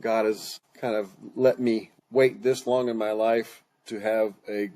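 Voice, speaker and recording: A middle-aged man with a fairly low voice talks as a podcast guest. His delivery is conversational, like someone recounting his own experiences. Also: flat delivery, measured pace, mellow. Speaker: author and pastor, podcast guest. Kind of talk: conversational storytelling interview.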